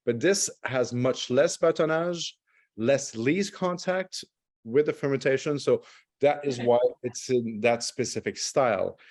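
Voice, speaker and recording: A man's voice: medium pitch, darkish tone. A middle-aged man from Montreal, a native French speaker whose accent has Australian and South African traces, leading a wine tasting over a video call with loose, chatty, self-correcting explanations. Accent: French Canadian with Australian and South African traces. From Montreal, Canada. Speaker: wine brand ambassador.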